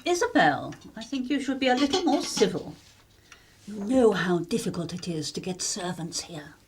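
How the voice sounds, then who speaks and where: A woman's voice: mid-range voice, sing-song, moderate pace, slight background noise, lightly acted. A woman with an English accent playing a proper, pointed middle-aged upper-class mother in a 1930s radio play. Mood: wry reproof.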